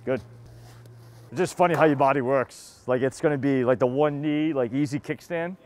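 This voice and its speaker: A man's voice: medium pitch, normal speed, a little mellow. A man with a Massachusetts accent coaching baseball catching drills in casual, encouraging, slangy bursts. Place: outdoor batting cage and bullpen.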